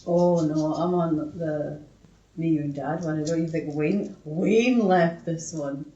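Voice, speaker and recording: Low, mellow woman in casual chat. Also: unhurried. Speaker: podcast co-host. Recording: echoey room, slightly dull sound.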